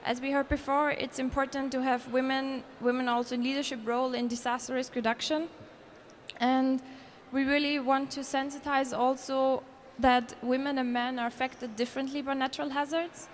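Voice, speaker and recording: High-voiced Austrian woman presenting. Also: flat delivery, normal speed, slight background noise. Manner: earnest, with restarts and self-corrections. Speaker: conference presenter. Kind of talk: conference presentation. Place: conference hall.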